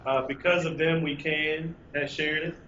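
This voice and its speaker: A man's voice: fairly high pitch, flat delivery, normal speed, sharp tone, lo-fi sound, a little hiss or hum. Earnest man in his thirties, echoey. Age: 30s. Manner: earnest, reflective, hesitant restarts. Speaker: interview guest, law graduate. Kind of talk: casual interview.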